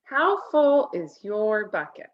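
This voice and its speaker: A woman reading a picture book aloud to children, expressively, pausing to comment and ask simple questions. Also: medium pitch, muffled recording. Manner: expressive, asks simple questions of young listeners. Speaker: storybook reader.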